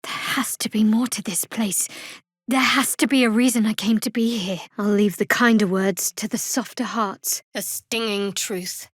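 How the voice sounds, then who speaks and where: A woman playing a guarded, sardonic young cleric of a dark goddess in a video game, with dry, clipped delivery. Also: mid-range voice, steady tone, normal speed, slightly rough, no room sound, natural voice. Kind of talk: video game character dialogue.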